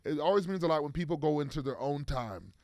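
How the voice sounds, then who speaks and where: A man's voice: fairly high pitch, lively intonation, fast, darkish tone, no echo. Rambling man in a dry close room. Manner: rambling, many fillers and restarts. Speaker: football player guest. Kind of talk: casual interview chat.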